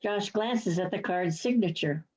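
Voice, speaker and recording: A woman's voice: mid-range voice, unhurried, darkish tone. A woman reading stage directions as scripted narration, on a dull lo-fi recording. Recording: dull, muffled lo-fi sound.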